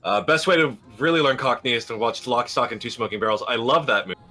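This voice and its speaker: A man's voice: mid-range voice, quick pace, sharp tone. A man co-hosting a livestream, chatting casually and rambling, now and then slipping into put-on accents. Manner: rambling, playfully dropping into put-on British and Irish accents. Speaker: livestream co-host. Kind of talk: casual chat with impressions.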